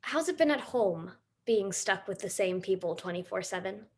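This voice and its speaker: A young female student narrates her own documentary play, speaking as a storyteller in a scripted first-person monologue. Her voice sits at an ordinary middle pitch for a woman. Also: lively intonation, moderate pace. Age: teens to young adult.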